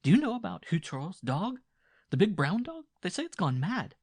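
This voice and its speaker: A man's voice: high-pitched, sing-song, normal speed, darkish tone, slightly rough, no room sound, studio quality, pitched above the performer's own voice, heavily acted. A man voicing a talkative, prying peasant wife in an audiobook story, chattering and insistent. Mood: gossipy. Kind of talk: dramatized audiobook dialogue.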